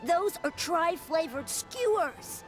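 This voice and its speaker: Game kid: boy, very high pitch, cocky, friendly, music behind. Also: expressive, slow, exaggerated voice. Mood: knowing, friendly. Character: cocky, friendly young boy, helpful know-it-all. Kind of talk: video game voice acting.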